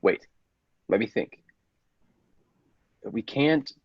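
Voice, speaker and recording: Man acting ambitious lab scientist, low husky voice, commanding, persuasive, radio drama, dull roomy recording. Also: animated, drawn-out words, heavily acted. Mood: tense. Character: persuasive, controlling male laboratory scientist with dangerous ambitions. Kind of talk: old-time radio play acting.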